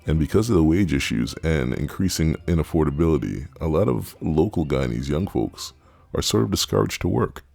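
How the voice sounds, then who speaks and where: A man narrating a travel documentary in measured, scripted voice-over. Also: rumbling low, lively intonation, gravelly, darkish tone, faint music behind.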